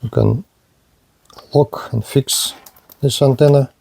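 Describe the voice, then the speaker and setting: Slow, gravelly man demonstrating gear. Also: medium pitch, fairly even intonation, dark tone, slight background noise. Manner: halting, short phrases; non-native English phrasing. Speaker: radio reviewer. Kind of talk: hands-on product demonstration.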